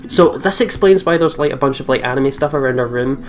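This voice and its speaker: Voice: medium pitch, a little fast. A giggly, chatty let's play host, talking casually and reading game text aloud. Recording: music behind the voice. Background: plucked guitar game music.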